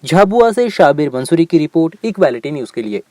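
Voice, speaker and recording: A man's voice: fairly high pitch, very animated, brisk, warm tone, no echo. A man delivering scripted news narration as a voice-over reporter.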